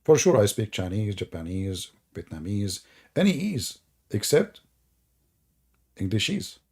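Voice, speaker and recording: A man's voice: lowish voice, big pitch swings, unhurried, a little mellow, husky. A man whose first language is Arabic, hosting sarcastic religious commentary in a mocking, theatrical way. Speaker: host.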